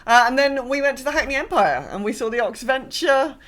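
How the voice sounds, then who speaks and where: A woman's voice: high-pitched, lively intonation, a little fast, a bit bright. A woman with a British accent chatting casually as a podcast co-host, telling anecdotes and often repeating and correcting herself. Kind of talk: casual chat, personal anecdotes.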